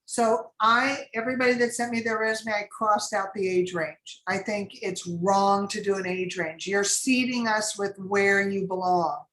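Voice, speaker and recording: A woman's voice: medium pitch, fairly even intonation, measured pace, hardly any pauses, fairly bright tone, echoey room, low-quality recording. A woman casting director advising actors in a class, chatty and rambling with many fillers.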